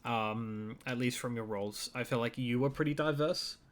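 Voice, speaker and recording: A man's voice: mid-range voice, normal speed. A man hosting an interview, rambling a little and full of "like" and other fillers. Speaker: interviewer, host. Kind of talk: casual interview conversation.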